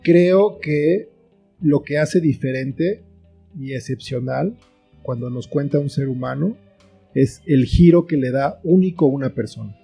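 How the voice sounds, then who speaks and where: A man's voice: medium pitch, unhurried, gravelly, husky. A middle-aged man with a Mexican accent speaking reflectively as a guest in a conversational interview. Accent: Mexican Spanish. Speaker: interview guest, writer and filmmaker. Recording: faint guitar music behind. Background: soft plucked guitar music.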